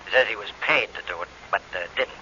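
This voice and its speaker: A man's voice: lowish voice, fast, bright, forceful tone. A man acting a brash, impatient, cocksure police inspector in a 1940s radio drama. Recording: muffled 1940s radio recording with noise.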